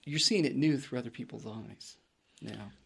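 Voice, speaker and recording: Gravelly man hosting a chat. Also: medium pitch, moderate pace, slight background noise. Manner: rambling, restarts questions. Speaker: host. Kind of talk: casual interview.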